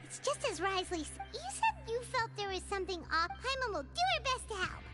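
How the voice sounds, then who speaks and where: A woman voicing a tiny, excitable, blunt fairy companion in a video game, with a squeaky childlike voice and exclamatory delivery. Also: a little fast, over loud music. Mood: eager. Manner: exclamatory, speaks of self in third person. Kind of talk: video game character dialogue.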